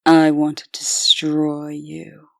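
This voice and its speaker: A woman's voice: deep voice, monotone, slow, gravelly, no room sound, studio quality, heavily acted. A woman playing a cool, seductive, menacing angel huntress, poised and teasing, in a comic dub. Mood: quietly menacing.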